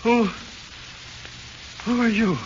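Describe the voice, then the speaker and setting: A man's voice: very high voice, steady tone, slow. A man with an American accent playing a tough-talking but easily frightened jailed crook in 1940s radio horror, slangy and tense. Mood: frightened disbelief. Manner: slangy, uneducated, tense delivery. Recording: muffled, hissy 1940s radio recording.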